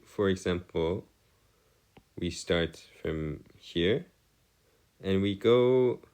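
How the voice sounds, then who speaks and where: A low male voice with widely swinging intonation walks through a lesson as a tutor. His wording is formal and bookish, and he delivers it haltingly, pausing often as he works through the explanation. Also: creaky, a little mellow. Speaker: math tutor. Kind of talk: improvised lesson explanation on trigonometry.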